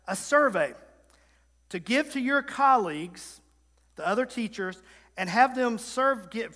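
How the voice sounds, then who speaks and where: A man in his thirties delivers a sermon with a notably high, bright voice, driving home points through repetition and quick asides to his listeners. His words ring out with the long reverb of a large hall. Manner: emphatic, repetitive preaching with call-and-response asides. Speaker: preacher.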